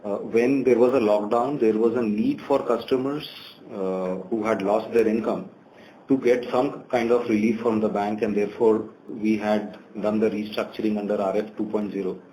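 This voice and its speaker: A man giving measured answers as a bank executive on an earnings call. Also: fairly low pitch, fairly even intonation, mellow. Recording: faintly noisy conference call.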